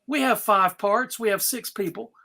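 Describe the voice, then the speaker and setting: A host casually running a live event, announcing in a theatrical, mock-serious way with halting pauses. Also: medium pitch, gravelly, sharp tone. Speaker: panel host and narrator.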